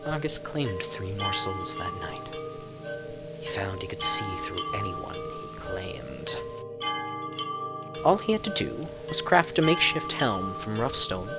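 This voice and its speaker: Low-voiced man giving dramatic storybook narration. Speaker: narrator reading lore books. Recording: music behind the voice. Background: music.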